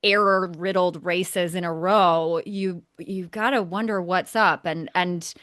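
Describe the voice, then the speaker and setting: A woman's voice: on the low side, steady tone, slow pace, a bit bright, no room sound, clean studio sound. A woman co-hosting a casual motorsport recap show, talking in an emphatic, opinionated way. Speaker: podcast co-host.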